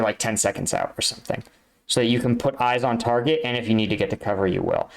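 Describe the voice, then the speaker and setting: An American man explaining things casually as he reacts, halting and correcting himself as he thinks aloud. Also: medium pitch, a little hiss or hum. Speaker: reactor, army combat veteran.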